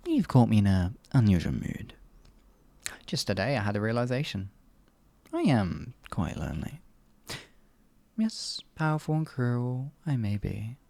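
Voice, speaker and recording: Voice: mid-range voice, vocal fry, a little mellow, no echo. A performer voicing an evil, sadistic sorcerer in an ASMR fantasy roleplay, theatrical and condescending, stretching words and slipping into menacing whispers. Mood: musing, haughty. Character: evil, sadistic, all-powerful sorcerer, gloating and cruel.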